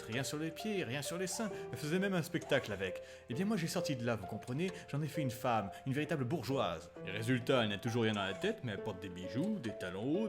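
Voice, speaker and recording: A man's voice: medium pitch, natural voice. A man voicing a pompous, vulgar middle-aged husband in a comedy dub, smug and easily flustered. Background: music.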